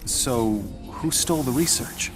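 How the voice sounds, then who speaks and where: An actor playing a probing, sceptical journalist in a video game, questioning and incredulous. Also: male voice, medium pitch, a little slow, over music, lightly acted. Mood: curious. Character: probing, sceptical male journalist conducting an interview. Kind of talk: video game cutscene acting.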